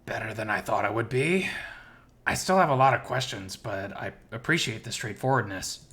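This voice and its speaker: A man's voice: lowish voice, normal speed, a bit bright. A man voicing an earnest, curious, hopeful young male animal character in a visual novel. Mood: thoughtful, grateful.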